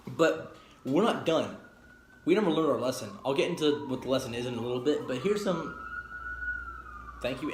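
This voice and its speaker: A man hosting an informal video review to camera, speaking in a blunt, opinionated, rambling way. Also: medium pitch. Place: home garage, indoors. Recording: echoey room with faint background noise.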